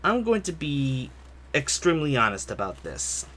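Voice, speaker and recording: A man's voice: on the high side, big pitch swings, moderate pace, pushed and bright, slight background noise. A man narrating a scripted but casual, profane film review in an emphatic, opinionated way. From Puerto Rico. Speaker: movie reviewer. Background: music behind the voice.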